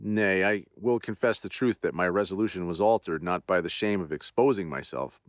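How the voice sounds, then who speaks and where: A man's voice: deep voice, moderate pace, no echo, clean studio sound, close to an everyday voice. A man reading the part of a proud army general formally confessing his terror, in earnest period speech. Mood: shaken.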